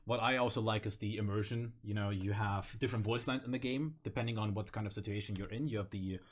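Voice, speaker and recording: A man's voice: lowish voice, steady tone, fast. A man from Russia, a native Russian speaker, hosting a casual chat and explaining game voice lines. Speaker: host of a video series.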